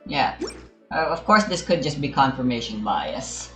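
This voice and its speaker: A man's voice: mid-range voice, very animated, a little slow, bright, forceful tone. A man giving casual, rambling game commentary in an offhand, musing way. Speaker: gamer recording gameplay. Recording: roomy echo, music underneath. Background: game music.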